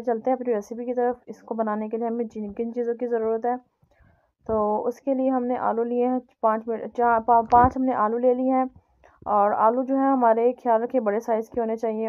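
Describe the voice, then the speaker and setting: A woman narrating a home recipe as host, explaining step by step and repeating her instructions. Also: a little high. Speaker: home cooking channel host. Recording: dull, lo-fi home recording.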